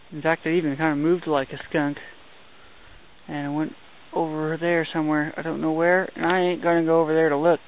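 Young man narrating a night hike. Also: fairly high pitch. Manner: excited, chatty, mild minced oaths. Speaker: hiking vlogger. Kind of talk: hushed running commentary while filming. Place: outdoors at night on a trail. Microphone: handheld camera mic. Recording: tinny lo-fi camera audio with hiss.

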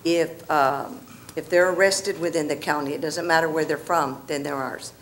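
Middle-aged woman, lowish voice, board meeting, podium mic, faint ambience, matter-of-fact. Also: steady tone, normal speed, slight background noise. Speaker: district staff member answering the board. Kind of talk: answering questions at a public meeting. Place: school board meeting room. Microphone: podium microphone.

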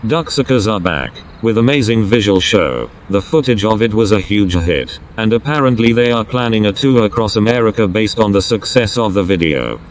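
Guy acting as glib radio-style announcer, low-ish swoopy voice, deadpan asides, comedy audio drama. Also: moderate pace, hardly any pauses, a little hiss or hum, lightly acted, low-quality recording. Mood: smug. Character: glib male broadcast announcer plugging bands and sponsors.

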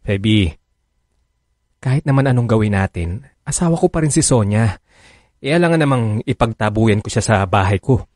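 Defensive, pleading married lover. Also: male voice, on the low side, animated, normal speed, pauses often, darkish tone, no room sound, studio quality. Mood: uneasy. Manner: pleading, placating, emotional. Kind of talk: Filipino radio drama acting.

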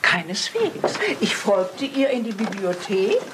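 An actress playing an older, chatty and fussy English spinster aunt in a German crime radio play, rambling effusively. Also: female voice, mid-range voice, very animated, slow, noisy background. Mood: confiding.

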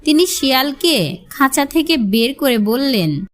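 Slow, lilting woman storyteller. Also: sits high, big pitch swings. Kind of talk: scripted children's fable narration.

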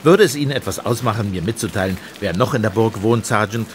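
An actor playing a brisk, self-important Scotland Yard inspector, formal and officious, in a German radio drama. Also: male voice, lowish voice, slight background noise, lightly acted.

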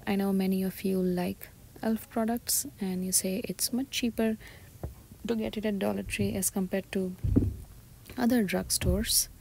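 Soft creaky half-whispering woman vlogger. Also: mid-range voice, steady tone, unhurried, low-quality recording. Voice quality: breathy, often half-whispered. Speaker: shopping vlogger. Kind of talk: soft ASMR-style shop-with-me commentary. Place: inside a discount store. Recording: store ambience noise behind soft voice.

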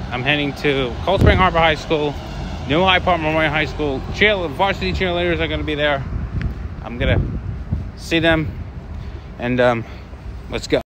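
A man's voice: a little high, background noise. A man in his thirties talking casually to his phone camera as a vlogger, filling gaps with "you know" and "um". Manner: chatty, with fillers like "you know" and "um". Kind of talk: casual vlog talk to camera. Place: outdoors and in a car, later at home. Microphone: phone held at arm's length.

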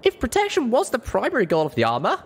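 Man putting on a high-pitched wry young pony mare. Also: wildly swooping pitch, quick pace, slightly rough, exaggerated voice. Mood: matter-of-fact. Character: young female pony narrator-heroine, wry, dark-humored.

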